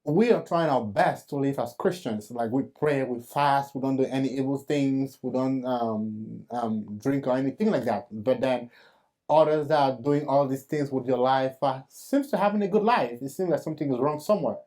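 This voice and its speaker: A man tells his personal faith story as a show host. His voice sits at a middle pitch. His telling is earnest and halting, with fillers and restarts as he feels his way through the memory. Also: a little mellow. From Cameroon.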